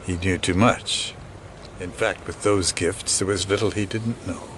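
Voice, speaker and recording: A man playing a sinister older conspirator in an audio drama, talking in a cryptic, condescending, deliberate way. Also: deep voice, steady tone, fairly bright tone, a little hiss or hum. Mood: insinuating. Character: sinister, knowing older male conspirator, revived as a copy. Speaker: actor playing a shadowy villain.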